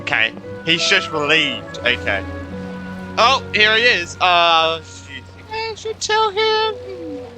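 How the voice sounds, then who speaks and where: A man's voice: high voice, wildly swooping pitch, slow, pauses often. A man playing a video game, chatting casually and talking back to the characters. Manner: reacts aloud, talks back to characters. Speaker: gamer commenting on gameplay. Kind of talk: casual let's play chat. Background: game music.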